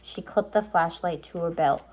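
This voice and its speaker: A woman's voice: on the low side, normal speed, a little mellow. A woman reading a children's storybook aloud, in simple, explanatory style for young listeners.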